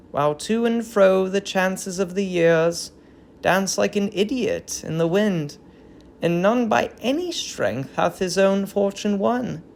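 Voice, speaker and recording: Woman playing a slow, grieving old queen. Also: lowish voice, darkish tone, a little hiss or hum. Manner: solemn, declamatory verse delivery. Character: grieving old queen mourning her dead grandchild, bitter, dignified. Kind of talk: dramatic reading of Greek tragedy.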